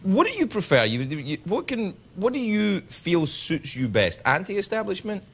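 A man's voice: sits high, huge pitch swings, normal speed, breathy edge. A man in his forties hosting a late-night talk show, joking and teasing his guest. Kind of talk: casual chat. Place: late-night TV studio with audience.

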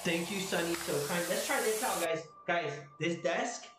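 A man in his thirties live-streaming at a desk indoors, muttering low, then shouting whenever he wins, with his voice going hoarse. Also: moderate pace, very noisy. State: losing his voice. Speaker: lottery scratch-off streamer. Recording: roomy echo, loud music behind.

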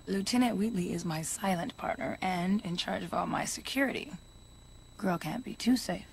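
A woman's voice: fairly low pitch, normal speed, vocal fry. An actress playing a poised, scheming young woman who runs a business in a crime video game. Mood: smooth, businesslike.

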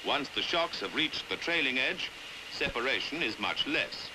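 A British man narrating a 1950s educational film in a precise, measured way, on a hissy old soundtrack. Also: medium pitch, expressive.

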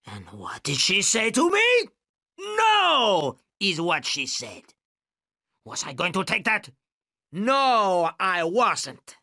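A man's voice: medium pitch, animated, slow pace, no room sound, clean studio sound. A man voicing a volatile, menacing gangster boss in his fifties in an audiobook story. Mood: screaming rage. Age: middle-aged. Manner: swings from hushed menace to screaming rage.

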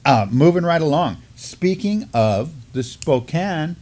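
A man's voice: a little high, lively intonation, slow, darkish tone. Middle-aged man discussing news informally. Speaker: news show co-host. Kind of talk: news commentary, reading and discussing articles. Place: indoor studio at a desk.

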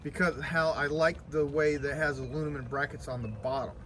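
A man's voice: a little high, steady tone, brisk, a bit bright, a little hiss or hum. A man in his fifties vlogging casually outdoors on a sailboat at a marina, rambling and correcting himself as he talks. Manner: rambling, self-correcting, conversational. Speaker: boat owner vlogger. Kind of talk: casual vlog talk.

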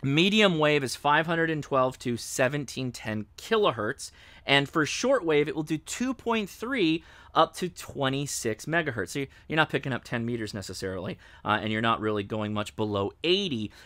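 A man's voice: medium pitch, lively intonation, normal speed, a bit bright, dry recording. An American man in his thirties giving a casual, explanatory product review and demonstration. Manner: informal, explanatory. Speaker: host, radio reviewer. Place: indoors, home radio studio and workbench.

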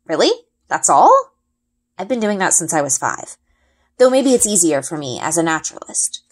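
A woman's voice: on the low side, sing-song, normal speed. A woman voicing an eager, confident young girl adventurer in a storybook, with casual youthful phrasing.

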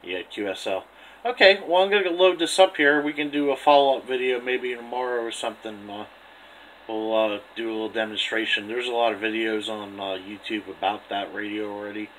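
Guy w kinda low voice doing casual radio test chat, bit of background noise. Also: a little fast, a bit bright, rough recording. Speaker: two-way radio operator demonstrating equipment. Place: indoor room beside a radio set.

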